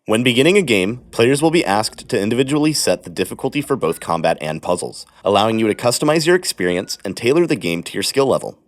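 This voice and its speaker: A man reading scripted narration for a video game guide in a measured, explanatory way. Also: lowish voice, big pitch swings.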